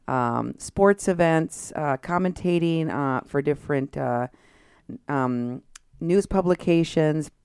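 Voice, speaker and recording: A woman from Texas with a low, smooth voice hosts a relaxed radio chat. She talks easily, with plenty of ums along the way. The studio sound is dry and close. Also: dry recording. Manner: chatty, warm hosting with fillers. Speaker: radio host.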